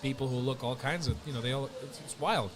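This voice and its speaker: A man hosting a podcast, chatting casually and telling stories in an animated way, acting out what other people said to him. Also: medium pitch, quick pace. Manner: animated storytelling, acts out other people's lines. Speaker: podcast host. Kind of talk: casual chat.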